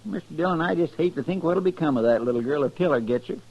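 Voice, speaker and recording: Folksy sidekick, creaky lively voice. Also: male voice, fairly high pitch, fast. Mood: worried, earnest. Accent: rural American. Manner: rustic drawl, homespun, deferential. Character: folksy, earnest, fretful marshal's sidekick. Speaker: actor in a radio western. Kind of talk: 1950s radio drama acting. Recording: dull 1950s radio recording.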